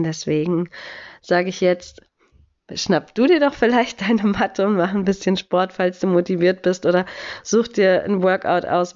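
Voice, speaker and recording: A woman's voice: lowish voice, a little mellow, muffled recording. A woman in her thirties with a cold, hosting a podcast in a chatty, encouraging way with many filler sounds. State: has a cold. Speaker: podcast host. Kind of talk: casual podcast monologue.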